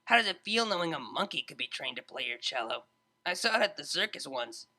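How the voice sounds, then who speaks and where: A woman's voice: low-pitched, sing-song, a little fast, bright, forceful tone, heavily acted. A woman voicing a brash, hot-tempered young unicorn DJ pony in a story, slangy, mocking and confrontational.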